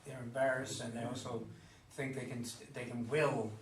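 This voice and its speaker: A man in a casual group discussion, halting and searching for his words. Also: mid-range voice, level tone, crowd noise. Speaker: audience member in discussion. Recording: roomy, distant, faint noise.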